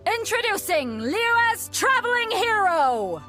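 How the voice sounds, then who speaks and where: A bold pirate captain in a game, brash and commanding. Her voice sits high and swings widely. Game music plays clearly behind her. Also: sing-song, slow, sharp tone, heavily acted. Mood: announcing. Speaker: tournament announcer. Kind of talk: video game voice acting.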